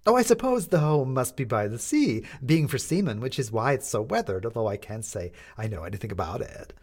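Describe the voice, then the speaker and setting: A woman's voice: a bass voice, very animated, brisk, a little mellow, heavily acted. A man voicing a chattering, scatterbrained society lady who rambles breathlessly from one thought to the next. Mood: flustered chatter.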